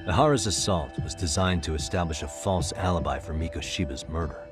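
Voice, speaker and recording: A man's voice: low-pitched, fairly quick, over music, lightly acted. A man playing a composed private investigator in an English-dubbed crime game, matter-of-fact and probing. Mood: probing, serious.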